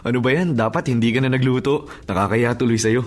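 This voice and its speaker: A man's voice: mid-range voice, fast, close to an everyday voice. A man in his twenties playing a humble, apologetic, grateful young laborer in a radio drama. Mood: bashful, grateful. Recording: music playing under the voice.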